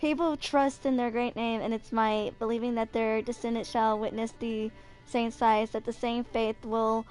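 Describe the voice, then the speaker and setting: A woman's voice: on the high side, level tone, moderate pace, a little mellow. A woman in her twenties hosting a casual gaming video, chatting and reading game lore aloud, sometimes stumbling and correcting herself. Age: 20s.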